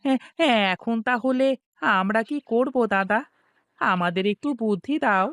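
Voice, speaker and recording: A man's voice: high-pitched, a little slow, a bit bright, no room sound, studio quality. A man voicing an eager younger village farmer in a cartoon, coaxing and pleading with his elders. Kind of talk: Bengali comic cartoon acting.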